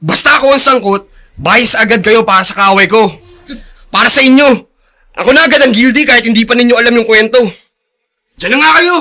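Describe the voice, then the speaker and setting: A man's voice: very high voice, fairly quick, long pauses, sharp tone, heavily acted. A man acting a hot-headed, spoiled, whining bully of a son with a Filipino accent in a radio drama. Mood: defiant. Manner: defiant, whining.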